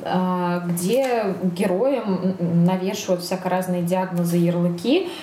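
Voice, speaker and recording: A woman's voice: fairly low pitch, unhurried, dark tone. A woman co-hosting a casual discussion, explaining things in a hesitant way as she searches for words. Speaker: podcast co-host. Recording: roomy, echoey, faint background noise.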